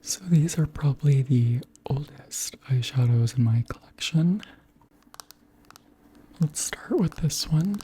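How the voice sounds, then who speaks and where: A beauty creator around thirty speaking softly in a whispery, breathy voice, gently chatting through products in an unhurried ASMR style. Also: mid-range voice, lively intonation, low-quality recording. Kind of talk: soft-spoken ASMR chat and product show-and-tell.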